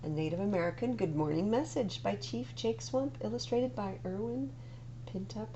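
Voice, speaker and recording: Female story-time reader, gravelly edge, expressive and measured picture-book delivery, noisy humming recording. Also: medium pitch, muffled recording. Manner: expressive, deliberate storytelling for young children. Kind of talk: read-aloud picture book narration.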